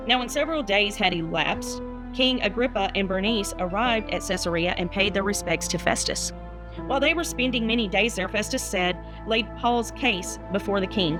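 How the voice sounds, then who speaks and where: A woman from the United States, a minister, who reads scripture and chats. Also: medium pitch. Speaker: guest minister and Bible reader. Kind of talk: Bible reading and casual chat.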